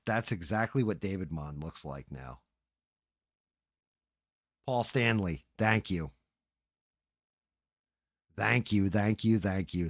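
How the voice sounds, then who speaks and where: A man's voice: fairly low pitch, no echo, studio quality. A middle-aged man chatting casually as a livestream host, crude and joking in a rambling, sweary way.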